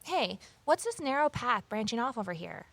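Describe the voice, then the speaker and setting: An actress playing a curious, eager former journalist in an audio drama, with a conversational, inquisitive delivery. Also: female voice, fairly high pitch, very animated, normal speed, a bit bright, no echo, exaggerated voice. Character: curious female ex-journalist, eager new conservation worker. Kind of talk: audio drama dialogue and first-person narration. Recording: clear background noise, outdoor ambience.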